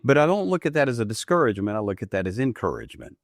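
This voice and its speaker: A man in his fifties from the United States, answering interview questions earnestly, often rising in pitch for emphasis. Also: big pitch swings, racing, dry recording, studio quality. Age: 50s. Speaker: guest, ministry leader. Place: studio.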